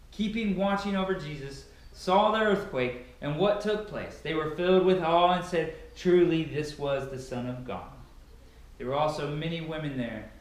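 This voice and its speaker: A young adult man giving a dramatic Bible reading in a declamatory, emphatic way, recorded by a camera a few meters away in a large living room. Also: fairly high pitch. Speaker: reader of scripture. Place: large, sparsely furnished living room indoors. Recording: very echoey room, camera at a distance.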